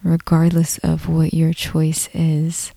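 A woman leading a guided meditation in a soft, breathy, calm voice, unhurried, with long pauses between short phrases. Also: deep voice, no echo, clean studio sound. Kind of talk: slow guided meditation narration.

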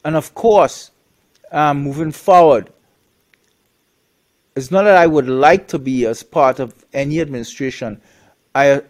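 Blunt man from Trinidad, halting speech. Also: on the high side. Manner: blunt, halting with many restarts. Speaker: guest. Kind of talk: casual interview talk.

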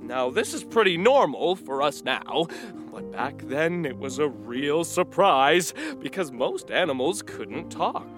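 Chatty donkey storyteller, kids' audio drama; bright, lively pitch swings, folksy and earnest. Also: male voice, full character voice. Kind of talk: children's audio drama acting.

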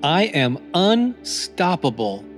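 A man reading scripted motivational affirmations as a podcast host, in a deliberate, encouraging manner. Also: fairly high pitch, very animated. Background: new-age music.

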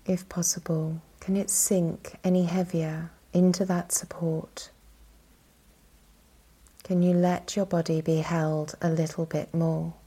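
A woman leading a guided yoga nidra meditation as instructor, calm and soothing, with a soft, breathy voice and long pauses. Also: on the low side.

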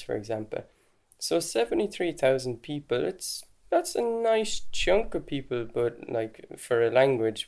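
A man's voice: mid-range voice, gravelly, a little mellow. A man with an Irish accent hosting a podcast, explaining things in a conversational way with casual asides. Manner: conversational, chatty asides with "like" and "right?". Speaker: podcast host.

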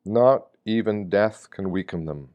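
A man reading a scripted devotional reflection in a measured, reflective manner, often with rhetorical weight. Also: fairly low pitch, lo-fi sound. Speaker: reader of Lenten meditations.

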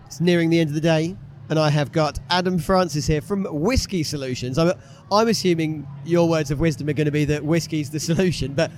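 A man with a slightly hoarse, fairly high voice and an eager, enthusiastic manner. He hosts quick interviews at a business show, and a faint background noise is heard. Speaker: host interviewing exhibitors. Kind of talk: upbeat vox-pop interviewing. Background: exhibitors packing up.

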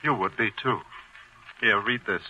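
An actor playing a frightened, demanding shop clerk with a prim, agitated manner in 1940s radio drama style. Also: male voice, lowish voice, moderate pace, sharp tone. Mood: anxious, demanding. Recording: muffled vintage 1940s radio recording.

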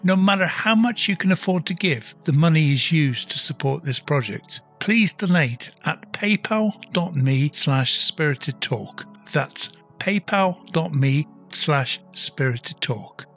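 Higher-pitched man reading over soft music. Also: expressive, a little slow. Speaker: presenter giving closing message. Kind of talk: scripted outro with donation appeal.

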